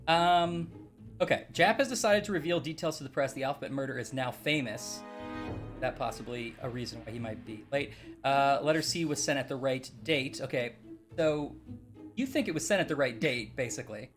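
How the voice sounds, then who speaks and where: A man's voice: medium pitch, animated, moderate pace, faint music behind. Young man thinking aloud over a game. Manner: thinks aloud, hesitant, reasons through clues. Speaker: game streamer. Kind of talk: casual let's-play chat.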